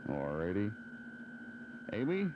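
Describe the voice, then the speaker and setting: A noisy, dull old AM aircheck with hum carries an American male DJ. His voice is fairly low and swings widely in pitch as he runs a phone-in giveaway, repeating details with care. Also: wildly swooping pitch. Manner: repeats and spells things out deliberately. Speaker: radio DJ. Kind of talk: 1970s pop radio DJ patter, album giveaway and phone-in.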